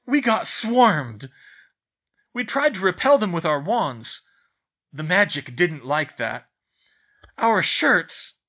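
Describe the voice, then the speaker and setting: A man voicing excitable, mischievous teenage twin brothers in a loud, exclamatory way for a storybook reading. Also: medium pitch, normal speed. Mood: breathless alarm. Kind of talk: audiobook character voice.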